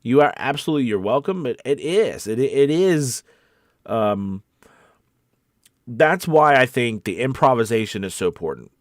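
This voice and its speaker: A man's voice: mid-range voice, expressive, normal speed, no room sound, clean studio sound. A man chatting casually and encouragingly as the host of a voice acting livestream, in an earnest, conversational, rambling way. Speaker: voice actor hosting a coffee-time livestream.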